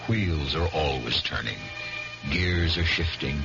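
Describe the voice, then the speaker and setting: An actor playing the ominous host of a 1970s radio mystery, narrating in a deliberate, portentous way. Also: male voice, extremely low pitch, vocal fry, exaggerated voice. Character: ominous, philosophical male host of mystery tales. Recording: muffled old radio with music.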